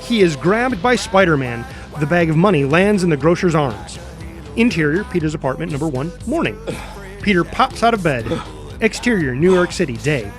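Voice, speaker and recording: A man's voice: fairly high pitch, big pitch swings, moderate pace, faint music behind. A man reading scripted screenplay narration and stage directions dramatically, voicing the sound effects himself.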